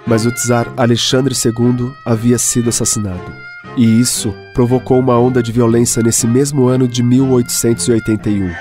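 A Brazilian-accented male narrator tells a radio drama story in a measured way. His mid-pitched voice sits over clearly audible music. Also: flat delivery.

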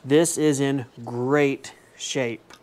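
A man's voice: mid-range voice, fairly even intonation, drawn-out words, darkish tone. A man in his thirties, chatty and enthusiastic, showing off tools in a small home woodworking shop, often correcting himself with jokey asides. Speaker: woodworking vlogger. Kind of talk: casual show-and-tell vlog. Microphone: fixed camera at desk distance.

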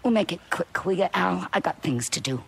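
A male actor playing a hard-driving, short-tempered camp leader in a video game, commanding and impatient, with a gravelly creak. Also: high-pitched, animated, a little fast, a bit bright, a little hiss or hum, full character voice. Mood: angry, impatient. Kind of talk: video game cutscene acting.

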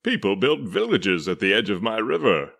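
A proud little toy tugboat from a children's storybook, acted out by a man, fretful and indignant. The voice swings wildly up and down with a gravelly creak, declaiming every exclamation. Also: fairly low pitch, very animated, normal speed, fairly bright tone, full character voice.

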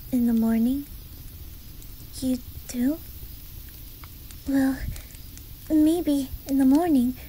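A woman voicing a gentle, timid young boy with an imitated Swedish accent, speaking hushed and hesitantly in an ASMR roleplay. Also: sits high, a little hiss or hum, poor audio. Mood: grateful, hopeful. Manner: hushed, hesitant, halting, often whispery.

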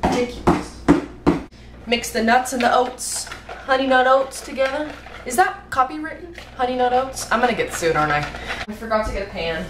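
A woman's voice: a little high, expressive, moderate pace. Goofy young woman in an echoey kitchen. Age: young adult. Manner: silly, self-mocking, loud outbursts. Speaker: vlogger. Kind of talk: goofy cooking vlog. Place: home kitchen at night. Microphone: camera across the kitchen. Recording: echoey kitchen, audible noise.

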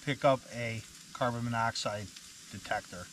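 Outdoor vlogger, thirties, male, easygoing rambling delivery, mid pitch, faint outdoor hiss behind. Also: moderate pace, poor audio. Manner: relaxed, rambling with fillers and restarts. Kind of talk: casual camp vlog. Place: inside a canvas hot tent in autumn woods.

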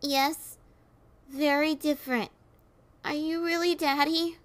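A woman's voice: high voice, steady tone, slow, voice pushed up. A woman voicing a frightened little boy, earnest and childlike, in a horror story. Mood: wary.

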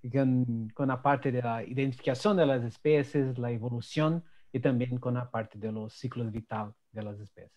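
A man whose first language is Portuguese, speaking Spanish with a Portuguese accent on a video-call panel, halting and searching for words. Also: medium pitch, steady tone. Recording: dull lo-fi sound.

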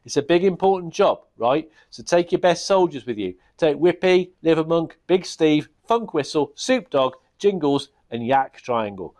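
A man voicing a boastful, crude goblin king who bellows his orders in a rough manner. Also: on the high side, expressive, moderate pace, hardly any pauses, a little mellow, pitched above the performer's own voice, close to an everyday voice. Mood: bossy. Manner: bellowing, brash and rough-spoken.

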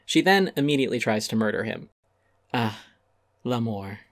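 A man's voice: fairly low pitch, animated, moderate pace, no room sound. Man reading an essay, dry close sound. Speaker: essay narrator. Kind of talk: dramatic reading of an essay, scripted narration.

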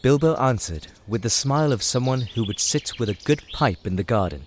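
A man's voice: medium pitch, lively intonation, fairly quick, slight background noise. A man reading scripted storybook narration for an audio drama. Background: music.

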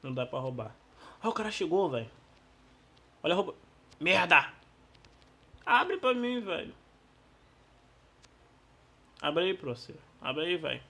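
Husky Brazilian man commentating on a game. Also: a little high, measured pace, pauses often. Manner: slangy, excitable exclamations. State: losing his voice. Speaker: gaming YouTuber. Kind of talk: casual gameplay commentary.

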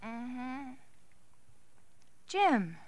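High, lively fussing wife. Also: female voice, extremely slow, full character voice. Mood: sleepy, contented. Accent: American. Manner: solicitous, insistent. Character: tender, anxiously fussing young wife. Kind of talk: 1940s radio drama acting.

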